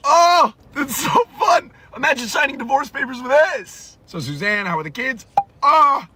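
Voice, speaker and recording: A woman's voice: high-pitched, lively intonation, normal speed, bright, forceful tone, slight background noise. A woman chatting casually and comically in a gushing, exclamatory way.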